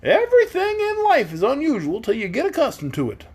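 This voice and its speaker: A reader doing a shrill, excitable straw man. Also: a little fast. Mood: eager. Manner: high, lively, excitable. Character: cheerful straw man, proud of his brains.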